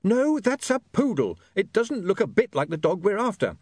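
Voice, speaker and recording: A man voicing a harassed, brisk, insistent young woman in an audiobook. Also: sits high, big pitch swings, darkish tone, husky, no room sound, studio quality, pitched above the performer's own voice, exaggerated voice. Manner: insistent, exasperated. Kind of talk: audiobook character voice.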